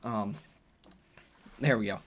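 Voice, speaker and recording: Slow-talking, slightly hoarse male streamer. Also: on the low side, background noise. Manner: relaxed, thinks aloud with frequent fillers. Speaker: game streamer. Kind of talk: casual live-stream chat.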